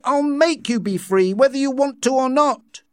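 A man reading an audiobook as an anguished young man, pleading and shouting with great fervour. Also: extremely high pitch, moderate pace, smooth, no echo, studio quality, voice pushed up. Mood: fervent, defiant. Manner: loud, pleading, fervent shouting. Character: anguished young man, an impassioned outsider called the savage.

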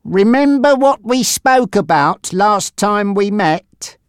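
A narrator voicing a menacing, taunting male gangster boss in an audiobook story, with a threatening, drawn-out delivery. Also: mid-range voice, lively intonation, smooth, no room sound, studio quality, pitched above the performer's own voice.

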